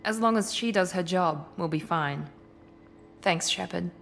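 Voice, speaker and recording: A woman's voice: lowish voice, measured pace, creaky, fairly bright tone, soft background music. A woman voicing a cool, haughty officer in a video game, controlled and condescending.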